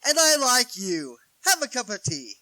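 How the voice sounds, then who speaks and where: A man playing a stern but kind elderly grandfather in a 1940s-style radio play, with a gruff, probing manner. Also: extremely high pitch, huge pitch swings, moderate pace, bright, forceful tone, dry recording, heavily acted, rough recording.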